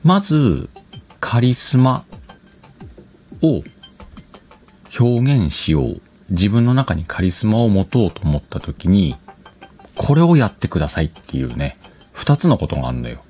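A man's voice: fairly low pitch, very animated, a little slow, pauses often, warm tone, slightly rough, soft background music. A man hosting a casual solo talk show, chatting in short, conversational phrases. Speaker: host of an audio talk show. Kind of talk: casual solo radio-style chat.

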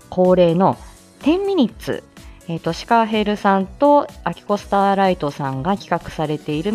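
A woman hosting a casual morning livestream, talking in a polite, chatty way and often pausing to find her words. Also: lowish voice, a little mellow. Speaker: host of a weekly morning livestream; speech-language therapist. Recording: faint music behind the voice. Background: faint background music.

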